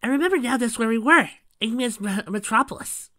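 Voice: medium pitch, lively intonation, brisk, bright, forceful tone, no room sound, studio quality, pitched above the performer's own voice. A performer voicing an earnest, cheerful young boy fox sidekick, eagerly explaining in a light, youthful tone.

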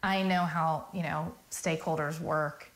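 A woman's voice: low-pitched, fairly even intonation, a little slow. A middle-aged American woman telling a personal story conversationally in an office interview. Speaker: interviewee, nonprofit founder. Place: small office. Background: faint music.

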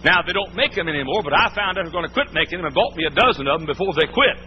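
A man's voice: fairly high pitch, animated, racing. A man preaching a sermon in a church, folksy and emphatic, repeating his points. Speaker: pastor. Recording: muffled old sermon tape, faint hiss.

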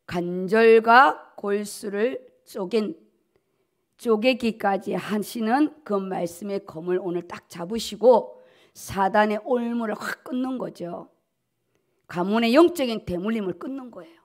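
A woman's voice: mid-range voice, unhurried. A middle-aged woman pastor preaching a sermon at a pulpit, emphatic and repeating key words for stress. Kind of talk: sermon, preaching at a dawn service. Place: at a pulpit in a church auditorium. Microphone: podium gooseneck microphones.

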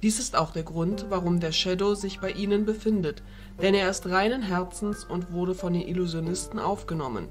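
A dignified fairy queen acted by a woman in a fantasy audio drama, with a fairly low voice. She speaks formally and diplomatically, in measured phrases, with faint music behind her. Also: close to an everyday voice. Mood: diplomatic.

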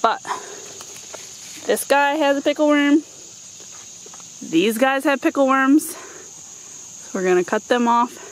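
Higher-voiced woman, noisy outdoor vlog. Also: takes it slowly, long pauses. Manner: informal, playful asides. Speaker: vlogger giving a home garden tour. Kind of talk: casual chatty vlog narration. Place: outdoors in a backyard vegetable garden. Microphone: handheld camera microphone. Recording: outdoor handheld camera, noticeable ambient noise.

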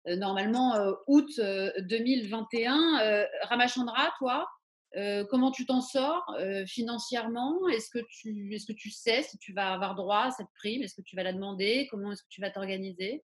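Higher-pitched woman putting questions. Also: moderate pace. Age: 30s. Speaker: co-host. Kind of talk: debate moderation, asking questions. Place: indoor room, video call.

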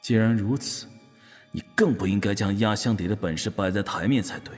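An actor playing a proud, cold young eunuch martial master in an audio drama, speaking formally and sternly. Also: male voice, on the low side, brisk, natural voice. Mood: challenging, probing. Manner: stern, probing, archaic formal diction. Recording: long hall reverb, music underneath. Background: background music.

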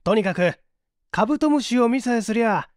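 A man voicing a methodical gentleman detective in an audiobook, assured and analytical as he explains. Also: extremely high pitch, expressive, normal speed, no echo, clean studio sound, voice pushed up. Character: confident, methodical gentleman detective in a mystery story.

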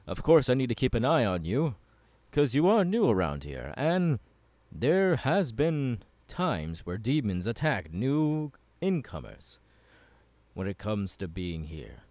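A man's voice: mid-range voice, wildly swooping pitch, slow, darkish tone, no room sound. A man playing a passionate, courteous young warrior mentor in an ASMR audio roleplay, speaking in an earnest, formal and encouraging way. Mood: reassuring.

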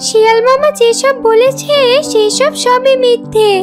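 A performer voicing a young duckling, a protective and earnest elder sister with a very high childlike voice, in a children's cartoon. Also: steady tone, measured pace. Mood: suspicious. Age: young child figure. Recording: music under the voice.

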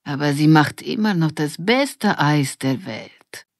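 An old Italian grandmother from a storybook, played by a woman. She is proud and fond, drawing her words out slowly in an Italian accent, in a low female voice. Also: expressive, dry recording, clean studio sound, full character voice. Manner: slow, drawn-out delivery.

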